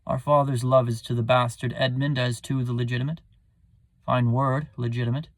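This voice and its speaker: A man's voice: mid-range voice, level tone, moderate pace, dry recording, close to an everyday voice. A man playing a resentful, ambitious younger son, declaiming theatrically in a Shakespearean soliloquy. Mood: mocking, scheming. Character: resentful, ambitious bastard son, defiant and scheming.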